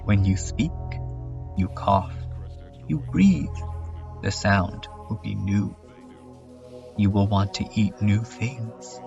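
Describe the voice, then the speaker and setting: A man's voice: lowish voice, gravelly, lo-fi sound. A man voicing an uncanny, creepily polite stranger with stilted, formal, broken phrasing in a horror comedy dub. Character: uncanny stranger making polite, creepy body-swap offers. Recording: music under the voice.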